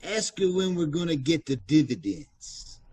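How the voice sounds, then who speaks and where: A man playing a surly, curt gangster with a husky voice in an old-time radio mystery. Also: a little high, big pitch swings, a little mellow, full character voice. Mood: sly, suspicious. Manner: curt, menacing, unhurried. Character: surly, slangy male gangster.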